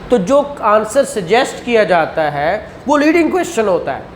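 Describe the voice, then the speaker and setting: A man's voice: high voice, very animated, normal speed, slight background noise. A man, teaching a law class and explaining points with rhetorical questions and acted examples. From Pakistan. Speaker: law lecturer. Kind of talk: classroom lecture.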